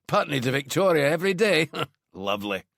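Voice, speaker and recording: A man's voice: a little high, very animated, fairly quick, vocal fry, fairly bright tone, no room sound, studio quality, voice pushed up, exaggerated voice. A man voicing a blunt, sarcastic male detective in an audiobook, with a Glaswegian accent tinged with London. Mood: sarcastic, grumbling.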